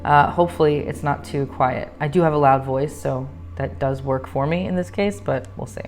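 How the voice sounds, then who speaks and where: Low-voiced woman reading tarot messages. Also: normal speed, a little mellow, faint music behind. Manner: switches between solemn card reading and chatty asides. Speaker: tarot reader. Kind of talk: reading tarot card messages aloud to camera. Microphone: microphone.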